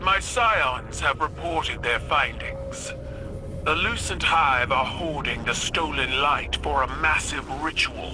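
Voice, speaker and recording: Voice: medium pitch, expressive, takes it slowly. Regal alien empress in a game, stately n grave, formal talk, noisy behind. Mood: stately, reporting. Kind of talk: dramatic video game voice acting.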